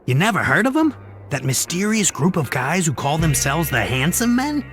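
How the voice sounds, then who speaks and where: A man playing an excitable, chatty fixer and comic-book buff who rambles through explanations in an animated game cutscene. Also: a little high, normal speed, soft background music. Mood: eager, gossipy.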